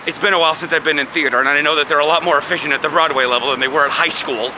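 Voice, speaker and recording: A man's voice: on the high side, fairly even intonation, rapid-fire. A young man from the United States chatting casually and rambling for a vlog, laughing often, recorded outdoors on city streets and in a car with a handheld camera. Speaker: vlogger. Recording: clear traffic noise outdoors.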